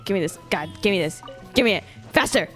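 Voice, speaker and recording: A woman's voice: mid-range voice, animated, quick pace. A young woman streamer reacting to a game with jokes, swearing and frequent laughter. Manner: joking, swearing, laughs often. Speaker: streamer, gameplay commentator. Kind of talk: casual reaction commentary. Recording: voice with faint game music behind.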